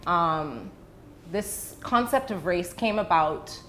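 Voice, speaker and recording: Slow, measured woman explaining, lowish voice. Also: lively intonation, fairly bright tone, slight background noise. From the Bahamas and the UK. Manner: measured, deliberate, pausing to choose words. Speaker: interview guest, educator. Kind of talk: explanatory interview talk.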